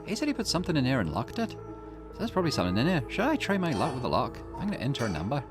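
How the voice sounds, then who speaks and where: Man voicing a musing, wildly animated game investigator. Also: medium pitch, racing. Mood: puzzled. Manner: musing, questioning, swooping intonation. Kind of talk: visual novel character reading. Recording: music behind the voice.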